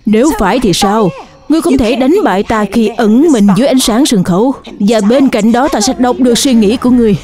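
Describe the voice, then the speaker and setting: Woman voicing a taunting, high-pitched cartoon shadow monster. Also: a little fast, crowd noise, close to an everyday voice. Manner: boastful, menacing, taunting. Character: taunting one-eyed shadow monster that reads minds. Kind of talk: dubbed children's cartoon acting.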